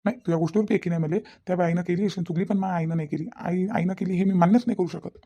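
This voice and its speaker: A woman's voice: fairly low pitch, steady tone, very fast, warm tone, natural voice. A woman voicing a young husband in a cartoon family drama, firm and disbelieving. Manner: firm, repeats denials. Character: mother-defending young husband, insistent and disbelieving. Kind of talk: Marathi cartoon family comedy-drama acting.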